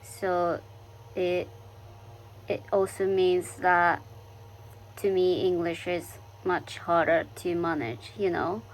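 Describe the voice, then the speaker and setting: Slow, soft-spoken Japanese-accented woman. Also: lowish voice, flat delivery, slight background noise, rough recording. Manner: thoughtful, searching for words with many fillers and restarts. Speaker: vlogger. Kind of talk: reflective personal vlog talk. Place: living in London.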